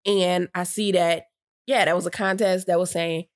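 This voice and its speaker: A woman's voice: lowish voice, normal speed, no echo, clean studio sound. A woman co-hosting a casual chat, telling a story with a stuffy nose and catching her breath between rambling sentences. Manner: chatty, downplays excitement, rambling with restarts. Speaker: podcast co-host.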